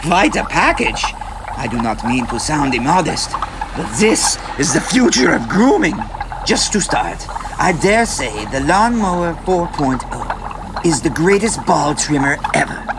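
An actor playing a pompous, earnest pitchman who speaks in formal, grandiose, slightly awkward phrases in a comic ad. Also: big pitch swings, a little slow, background noise. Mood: grandly enthusiastic. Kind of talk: comic scripted ad read in character.

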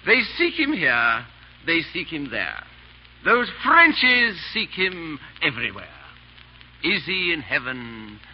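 A man's voice: a little high, big pitch swings, takes it slowly. A man acting a vain, foppish English aristocrat with an affected, dithering upper-class drawl in a radio drama. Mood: silly, pleased. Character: foppish, vain English aristocrat husband playing the silly dandy. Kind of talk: 1930s-40s radio acting. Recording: old muffled radio broadcast with hiss.

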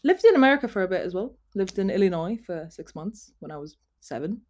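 A woman's voice: mid-range voice, fast, a little hiss or hum. A young woman from Finland and Oxford, chatting casually and animatedly as an interview guest, with a self-deprecating manner. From Finland and Oxford, England. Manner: animated, self-deprecating, acts out imagined quotes. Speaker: interview guest, actor and writer.

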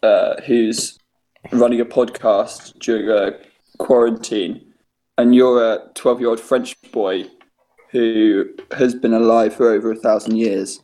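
A man's voice: on the low side, steady tone, slow pace, mellow, poor audio. An eighteen-year-old English man hosting a comedy podcast from home, rambling, swearing casually and laughing often. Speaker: podcast host. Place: home during quarantine.

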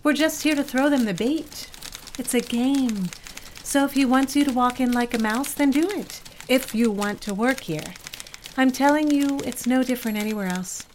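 A woman's voice: high voice, moderate pace, a little hiss or hum, close to an everyday voice. A woman playing a pragmatic, worldly office colleague in an audio drama, giving matter-of-fact advice. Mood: frank.

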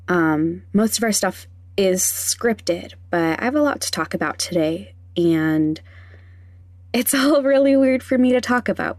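A woman hosting a podcast, talking candidly and casually in a hesitant, halting, self-conscious way. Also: lowish voice, a little mellow, no room sound. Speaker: podcast host.

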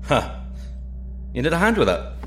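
An actor playing a joking British astronaut, bantering in a sci-fi audio drama. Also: male voice, fairly high pitch, huge pitch swings, moderate pace, over music. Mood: dry, joking. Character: wisecracking, nervy male British astronaut.

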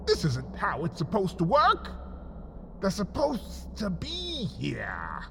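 A man narrating a scripted comic story in the second person, theatrical and melodramatic, with dramatic pauses. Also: on the high side, wildly swooping pitch, creaky, background noise. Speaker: writer and narrator of a comedy story podcast.

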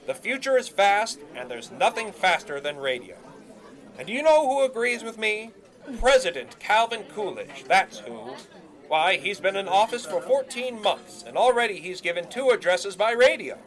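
An actor playing a cocky, grandiose young 1920s radio announcer in an audio drama, florid and emphatic. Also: male voice, high-pitched, big pitch swings, normal speed, a bit bright. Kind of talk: period audio drama acting.

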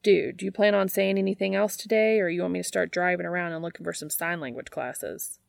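A woman voicing a sarcastic, soft-hearted older brother who swears and talks bluntly in an audiobook-style story. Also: high voice, steady tone, fairly quick, creaky, no echo. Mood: exasperated, threatening.

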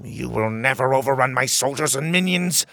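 A man voicing a possessive, defiant king in a children's fantasy story, with a shrill voice and spitting delivery. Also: lively intonation, normal speed, creaky, bright, forceful tone, no room sound, soft background music, full character voice.

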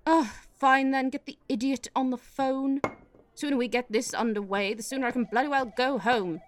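Actress as female Prime Minister, fairly high voice, harried, sweary, halting, radio play. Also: fairly even intonation, a little hiss or hum, lightly acted. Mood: exasperated. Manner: exasperated, stammering politician's hedging.

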